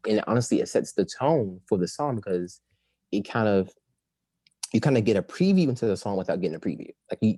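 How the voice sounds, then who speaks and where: Male artist, a touch raspy, chatty and loose, dry recording. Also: lowish voice, dry recording. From Maryland. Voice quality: a little raspy. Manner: rambling, animated, breaks into song. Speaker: musician guest. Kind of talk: casual interview chat.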